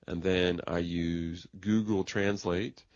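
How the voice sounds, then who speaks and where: A man with a very deep voice talks slowly through an easy conversation for learners, choosing plain words with care. The sound is dull and lo-fi, with a dry, close feel. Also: fairly even intonation, darkish tone, dry recording. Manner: plain, careful wording. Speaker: conversation partner in English lesson. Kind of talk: slow, simple conversation for learners.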